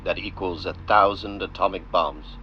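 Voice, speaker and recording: A man narrating a scripted art film in the manner of an old-style documentary, with measured, theatrical, deliberate phrasing. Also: low voice. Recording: noisy, dull lo-fi narration track.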